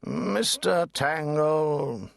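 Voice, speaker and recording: A man voicing a weary, pompous judge in an audiobook, speaking in a hesitant, measured, formal way. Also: fairly high pitch, lively intonation, creaky, no room sound, voice pushed up, full character voice. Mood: restless. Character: Lord High Chancellor, slow weary pompous judge. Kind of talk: audiobook character acting.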